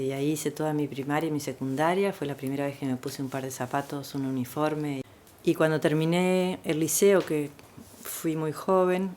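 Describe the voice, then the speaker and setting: Woman, 60s, Uruguayan Spanish accent, very low voice, relaxed storytelling, "este" fillers. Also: slight background noise. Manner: frequent "este" fillers, easygoing rural warmth. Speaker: interviewee.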